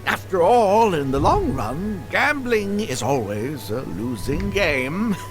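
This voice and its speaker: An actor playing a genial older guide in a video game, a wheedling, easily flustered gambler with a courteous, hesitant delivery. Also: male voice, high-pitched, sing-song, slow pace, over music, full character voice. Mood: dismayed, apologetic.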